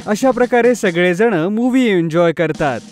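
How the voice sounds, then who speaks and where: A woman narrating a children's cartoon story in a gentle, even storytelling manner. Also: mid-range voice, moderate pace, soft background music.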